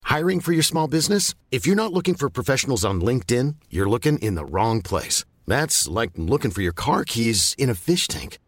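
A man reading a scripted ad in an upbeat, lively way. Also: medium pitch, a little fast, hardly any pauses, no room sound, studio quality. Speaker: ad announcer. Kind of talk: scripted radio ad read.

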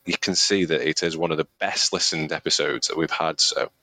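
A chatty man with a very deep voice, co-hosting a podcast. He talks casually and loosely, circling back with restarts. The recording is close and dry. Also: steady tone, fairly quick, pushed and bright, no echo, poor audio. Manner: chatty, rambling with restarts and laughs. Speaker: podcast co-host, amateur runner. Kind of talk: casual chat.

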